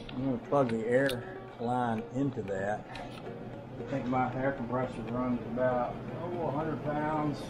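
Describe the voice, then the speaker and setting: Man, folksy, casual, mid pitch, guitar music clearly behind. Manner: folksy, thinking aloud with fillers. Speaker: home mechanic vlogger. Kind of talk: casual workshop narration.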